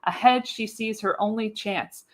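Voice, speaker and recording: A woman's voice: mid-range voice, measured pace. A woman reading scripted action as the narrator of a live radio play, with dramatic storytelling emphasis.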